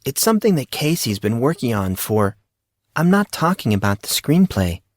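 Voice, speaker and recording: A man's voice: mid-range voice, big pitch swings, moderate pace, no room sound, studio quality. A man voicing an awkward, apologetic fourteen-year-old schoolboy who is easily flustered, in an audio story. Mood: bewildered. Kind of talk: audio drama character dialogue.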